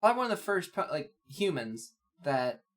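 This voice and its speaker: A man's voice: on the high side, big pitch swings, moderate pace, fairly bright tone. A man co-hosting a podcast with casual, rambling, jokey banter and loose swearing. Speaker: podcast co-host.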